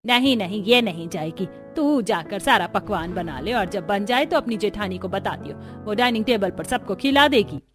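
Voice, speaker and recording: A woman voicing a bossy, demanding older mother-in-law in an animated family story, with a commanding, scolding manner. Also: medium pitch, moderate pace, hardly any pauses, music in the background, natural voice. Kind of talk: Hindi cartoon story acting.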